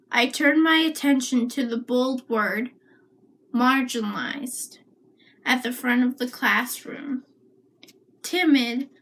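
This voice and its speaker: A young woman, a student poet, reading her own poem aloud in a slow, deliberate recitation. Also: high voice, a bit bright, rough recording. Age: young adult.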